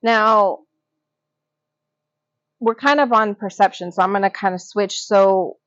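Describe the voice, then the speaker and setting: A woman's voice: medium pitch, a little slow, a bit bright, dry recording. A woman hosting a podcast interview, asking questions and encouraging her guest with frequent short affirmations. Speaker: podcast host. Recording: dull lo-fi sound.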